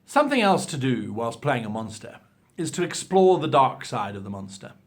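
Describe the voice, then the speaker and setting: A man hosting an advice show, explaining things to viewers in an animated, theatrical and emphatic way. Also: mid-range voice, normal speed. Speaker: host of a role-playing advice show. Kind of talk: instructional talk to viewers with acted example lines.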